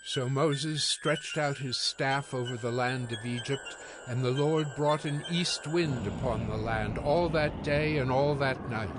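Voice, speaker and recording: Scripture narrator: man, mid-pitched, scripted storytelling with music beneath. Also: measured pace, hardly any pauses.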